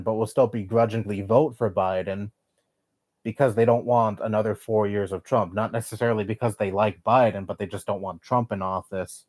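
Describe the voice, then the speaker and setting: Young male streamer, low-pitched, blunt chatty rambling, dry close mic. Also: darkish tone, no room sound. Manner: blunt, swears often, rambling opinions. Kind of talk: casual live-stream chat.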